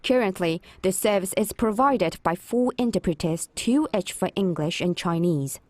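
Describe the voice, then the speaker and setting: A woman reporter delivers a scripted news piece in a measured, even voice. The sound is dry and close, with no room around it. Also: mid-range voice, dry recording.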